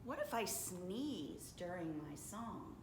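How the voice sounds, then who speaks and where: A woman's voice: fairly low pitch, sing-song, slow, roomy sound, noisy background, full character voice. A woman voicing an anxious young storybook girl who asks worried questions. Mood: upset, hesitant.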